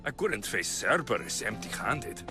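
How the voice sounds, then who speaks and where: A brooding warrior hero for a cinematic video game. His mid-pitched voice swings widely in melody, earnest with a wry edge, and music runs behind it. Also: sing-song, measured pace. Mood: reflective. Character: brooding, defiant adult male ancient Greek warrior. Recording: music under the voice.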